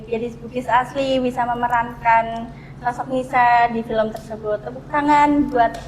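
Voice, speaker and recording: A woman's voice: a little high, fairly even intonation, unhurried, a little hiss or hum, low-quality recording. A woman hosting a casual promotional interview, chatty and often addressing the audience directly. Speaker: talk show host interviewing a film cast.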